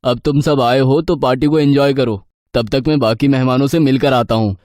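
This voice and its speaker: A man voicing a casual twenty-year-old young man in an animated horror story. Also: medium pitch, normal speed, dry recording, clean studio sound, close to an everyday voice. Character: young man, twenty, friendly birthday party host. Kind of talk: animated story acting, conversational.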